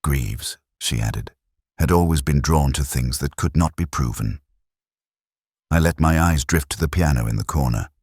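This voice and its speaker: A man playing a weary, literate police inspector, narrating a detective drama in a measured, melancholy, literary way. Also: a bass voice, a little mellow, slightly rough, no echo, clean studio sound. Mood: brooding, reflective. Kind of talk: scripted first-person detective narration.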